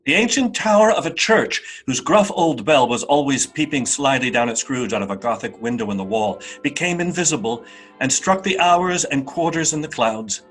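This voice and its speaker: A man narrating a classic tale for a radio play in an expressive, literary, wry manner. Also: medium pitch, close to an everyday voice, rough recording. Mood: atmospheric. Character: storybook narrator, wry and atmospheric. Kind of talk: dramatic scripted narration.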